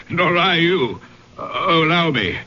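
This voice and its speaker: Hearty English gentleman, bright forceful voice. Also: fairly high pitch, very animated, slow pace, lo-fi sound, exaggerated voice. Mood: hearty, polite. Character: huge, hearty, polite English gentleman with dogs. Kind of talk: old-time radio drama acting. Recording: noisy band-limited old radio recording.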